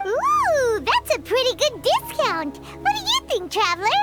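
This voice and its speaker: A woman voicing a small, childlike, gluttonous companion creature in a game, with a squeaky voice and exclamatory delivery. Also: wildly swooping pitch, unhurried, heavily acted. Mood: excited, eager. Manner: exclamatory, speaks of self in third person. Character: small childlike floating companion, chirpy, gluttonous, easily indignant. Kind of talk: animated game character dialogue. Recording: music playing behind the voice.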